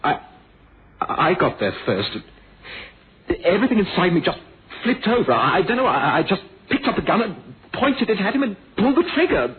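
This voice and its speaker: An actor playing an earnest, wounded young man in a suspense radio drama, speaking haltingly and repeating words. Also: high-pitched, very animated. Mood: agitated. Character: troubled, wounded young man with violent past. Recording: noisy phone-like vintage radio recording.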